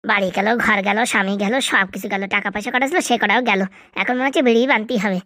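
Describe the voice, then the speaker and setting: A woman's voice: medium pitch, rapid-fire, a bit bright, no echo, studio quality, lightly acted. A woman playing a bitter, nagging village wife in a comedy cartoon, scolding and complaining sarcastically. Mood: bitter lament.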